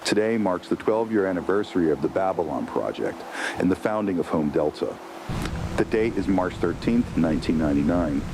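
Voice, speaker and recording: An actor playing a formal scientist director giving a measured official report in an analog horror series. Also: male voice, expressive. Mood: grave, official. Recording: noisy recording with rumbling ambience.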